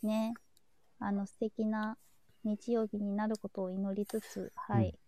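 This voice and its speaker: Woman co-host, mid-pitched, agreeable conversational delivery in a dry, close recording. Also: little pitch movement, slow, warm tone, no echo. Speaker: live show co-host. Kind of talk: casual chat on a live audio stream.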